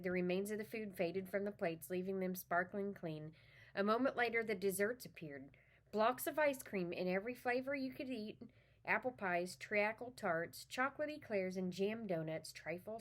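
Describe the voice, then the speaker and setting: A woman's voice: mid-range voice, lively intonation, normal speed. Woman, mid-range voice, children's read-aloud, informal and friendly address.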